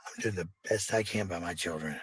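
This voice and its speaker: A man's voice: low-pitched, a little fast, fairly bright tone, slightly rough, no room sound. A man telling personal stories in a casual, blunt, defensive way, with some swearing. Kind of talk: casual personal storytelling and venting.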